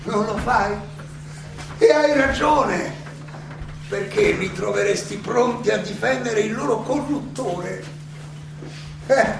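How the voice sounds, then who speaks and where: A man acting an older, proud and ironic philosopher defending himself at trial in a halting, rhetorical stage monologue. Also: sits high, creaky, heavily acted. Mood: mocking, challenging. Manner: halting, rhetorical questioning with dramatic pauses and emphatic swells. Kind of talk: theatrical stage monologue, courtroom oration. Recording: very echoey distant stage recording, noisy.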